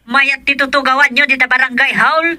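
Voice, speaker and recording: Radio comedy villager: Ilocano accent, extremely high piercing voice, urgent and agitated delivery. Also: male voice, little pitch movement, a little fast, bright, forceful tone, heavily acted. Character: agitated villager phoning in news of a fire. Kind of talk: Filipino radio comedy-drama acting.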